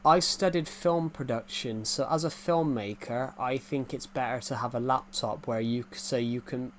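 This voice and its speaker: A young man in his twenties talks loosely while he plays, circling back over the same phrases and answering viewers as he goes. His voice sits at a middling pitch, picked up by a desk mic with a faint hiss of noise behind it. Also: normal speed. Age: 20s. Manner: rambling, repeats himself, answers chat. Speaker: gaming streamer. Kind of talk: casual live-stream chat while playing.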